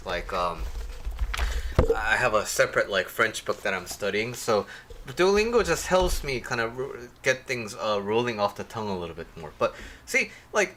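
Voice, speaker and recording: A man hosting a casual livestream, his voice a little off from a sore throat, rambling with many pauses and talking to viewers by name. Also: medium pitch, bright, forceful tone. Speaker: life coach hosting a livestream.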